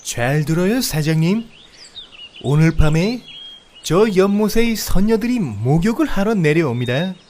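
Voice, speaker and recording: 남자가 연기하는 높은 목소리의 굽실거리는 사슴. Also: male voice, high-pitched, expressive, a little slow, a little mellow, slight background noise, pitched above the performer's own voice. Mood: instructive. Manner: obsequious, exaggeratedly polite. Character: pleading, wheedling talking male deer, salesman-polite. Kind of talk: comedic audio fairy tale acting. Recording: outdoor nature ambience, birdsong behind.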